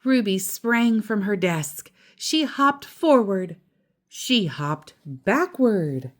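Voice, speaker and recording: A woman reading a children's picture book aloud as storyteller, expressive and deliberate, with casual asides. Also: medium pitch.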